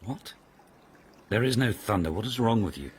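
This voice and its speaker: An actor playing a sardonic, imperious aristocratic lord in a radio period mystery, with a pompous, commanding manner. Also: male voice, fairly low pitch, expressive, normal speed, darkish tone, slight background noise, natural voice. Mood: impatient. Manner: commanding, pompous, drawling scorn.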